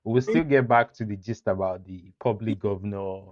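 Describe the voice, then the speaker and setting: Man easygoing host, lowish voice, dry lo-fi sound. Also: moderate pace, darkish tone, no room sound. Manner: easygoing, laughs along. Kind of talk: casual interview chat. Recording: dull dry lo-fi recording.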